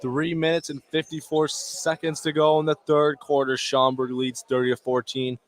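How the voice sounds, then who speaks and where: A man's voice: on the high side, flat delivery, normal speed. Male basketball play-by-play caller over gym noise. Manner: clipped running phrases. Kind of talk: live sports radio commentary. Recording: noisy gym ambience behind. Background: basketball bounces, gym noise.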